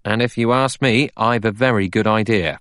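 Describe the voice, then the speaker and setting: A man reads a gruff, blunt man in a storybook reading, hoarse and forceful, pitched higher and louder than the narration. The voice is dry and close. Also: fairly even intonation, normal speed, no room sound, lightly acted. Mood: eager.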